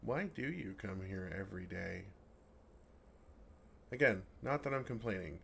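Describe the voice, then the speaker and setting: A man playing a gentle, affectionate, innocently oblivious dragon in an intimate, earnest ASMR roleplay. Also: sits low, expressive, measured pace, creaky, a little hiss or hum, heavily acted. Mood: puzzled affection. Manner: earnest, softly teasing, innocently puzzled.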